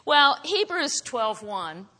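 Bright, slow-speaking woman preacher. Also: sits high, big pitch swings. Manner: loud voice. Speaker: guest preacher. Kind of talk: sermon. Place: church. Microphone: handheld or stand microphone. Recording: church hall reverb.

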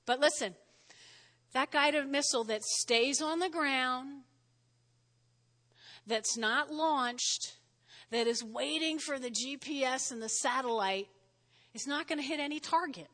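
Woman pastor, New Jersey accent, high bright voice, sermon in a reverberant church hall. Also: a little slow, pauses often. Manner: lively, spells words out, frequent "all right?" check-ins. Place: church sanctuary.

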